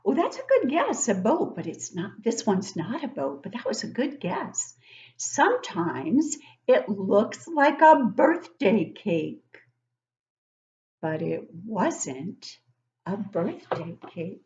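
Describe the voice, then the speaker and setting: An older woman reads a picture book aloud to young children like a teacher, expressively, pausing to ask them questions. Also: medium pitch, big pitch swings, darkish tone. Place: living room at home, indoors.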